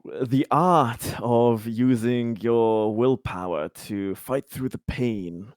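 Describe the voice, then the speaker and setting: A man's voice: medium pitch, takes it slowly, a little mellow, no echo, clean studio sound. A man chatting casually as a player in a tabletop roleplaying game, wordy and jokey, swearing freely.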